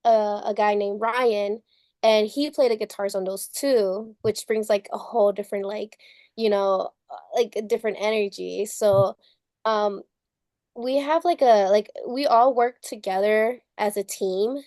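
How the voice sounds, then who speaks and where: A woman's voice: mid-range voice, steady tone, a little slow, darkish tone, no room sound. A young woman from Chicago, a musician guest speaking from a studio, chatty and giggly with a nasal voice. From Chicago, Illinois. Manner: giggly, chatty, lots of likes. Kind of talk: casual interview. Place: recording studio.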